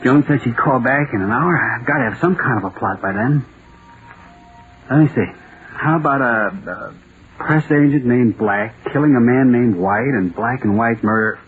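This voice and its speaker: An actor playing a nervous, self-doubting American writer in an old-time radio drama, talking to himself in a halting, theatrical way. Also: male voice, medium pitch, natural voice. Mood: nervous musing. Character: jittery, self-doubting male radio playwright sliding into terror. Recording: tinny, noisy old radio recording.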